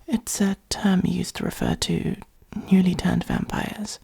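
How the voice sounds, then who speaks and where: A gentle, protective vampire played by a woman speaks in a soft, husky whisper, thick with vocal fry. The tone is mellow and patient, soothing and reassuring in the manner of a comforting ASMR roleplay. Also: on the low side, fairly even intonation, unhurried, full character voice. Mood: soothing, concerned. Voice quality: breathy, creaky. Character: gentle, protective adult vampire caring for a fledgling.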